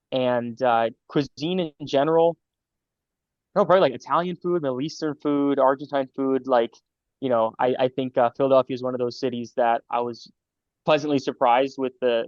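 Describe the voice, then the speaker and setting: A man's voice: medium pitch, fairly even intonation, no echo, highs cut off. A young man in his twenties, a medical student answering interview questions in a casual, friendly way, with many fillers and restarts. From Los Angeles, California. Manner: friendly, halting with fillers and repeats.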